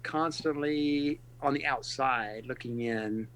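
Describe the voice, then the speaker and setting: A middle-aged man from California and Texas, a pastor speaking earnestly and reflectively in a casual podcast interview, pausing often and restarting his sentences. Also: medium pitch, a bit bright, slight background noise, poor audio. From California and Texas, USA.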